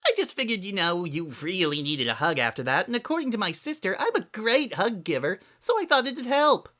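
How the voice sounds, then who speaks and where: A hyperactive, cheerful young girl from a cartoon, acted out by a narrator. The voice is bright and pitched far up, with a chirpy, eager bounce. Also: sing-song, fairly quick. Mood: bubbly, unapologetic.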